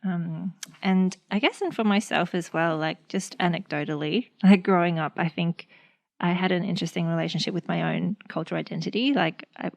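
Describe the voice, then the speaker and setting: A woman's voice: on the low side, brisk. A young woman with an Australian accent, a speech pathologist chatting casually on a podcast with many fillers. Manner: relaxed, lots of fillers and "like".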